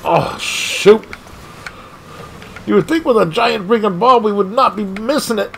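A man streaming a car soccer game, commentating his own play with excited outbursts and frustration at near misses. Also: high-pitched, unhurried, creaky, slight background noise. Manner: animated, exclaiming, frustrated at missed shots. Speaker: gaming streamer. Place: home gaming room.

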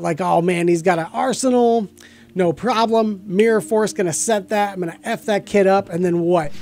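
A man's voice: high voice, darkish tone. A middle-aged American man giving casual, close-miked commentary on a card game as the host. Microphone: close desk microphone.

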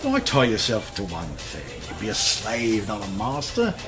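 An actor with a British accent playing a cocky, flirtatious, smooth-talking fashion photographer in a radio drama. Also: male voice, on the low side, huge pitch swings, moderate pace, music in the background. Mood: boastful.

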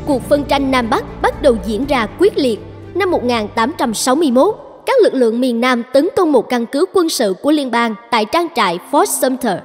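A woman reading scripted historical narration for a cartoon as its narrator. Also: high-pitched. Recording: long reverb, loud music behind. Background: music.

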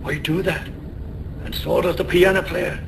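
An actor playing a loyal, consoling Irish sailor, rough but tender, in a radio drama, with a lilting, hearty manner. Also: male voice, steady tone, normal speed. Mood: hearty, amused. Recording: noisy radio drama with rumbling ambience.

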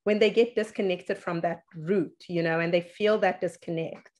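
A woman's voice: on the low side, fairly even intonation, moderate pace. Encouraging woman interviewer on video call. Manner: warm, encouraging questions. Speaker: host. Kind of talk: casual interview. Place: office, indoors.

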